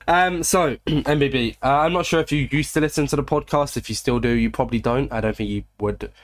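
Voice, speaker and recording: Young male host, voice gone hoarse, rambling and self-deprecating, casual podcast chat, mid-range pitch. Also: a little fast. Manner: rambling, chuckling, self-mocking. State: hoarse, losing his voice.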